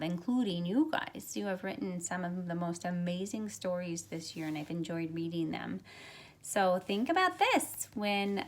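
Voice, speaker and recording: A middle-aged woman speaks as a teacher to children, at a medium pitch. Her phrasing is expressive and deliberate, suited to a picture book read-aloud. She is recorded indoors at home on a phone. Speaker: teacher reading to students. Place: carpeted room at home, indoors. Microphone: phone at arm's length.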